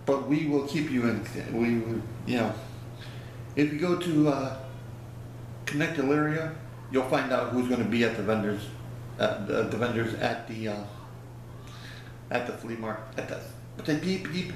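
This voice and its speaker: A man's voice: mid-range voice, unhurried, pauses often. A man in his fifties chatting casually to a webcam in a small room, rambling and folksy as he loses his words now and then. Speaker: vlogger. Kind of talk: casual product review vlog. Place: small indoor room by a plain wall. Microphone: webcam at close range. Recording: very echoey room, faint hiss.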